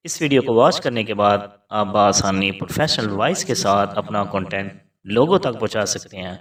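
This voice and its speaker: A man's voice: lowish voice, distant mic. A man narrating a scripted tutorial as host, giving formal step-by-step instructions.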